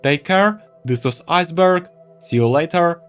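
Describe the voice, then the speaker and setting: A man who speaks English as a second language, presenting a semi-scripted product review to viewers in an expressive, enthusiastic way. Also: a little high, unhurried, clear, resonant tone, faint music behind. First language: not English. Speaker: tech reviewer and video host.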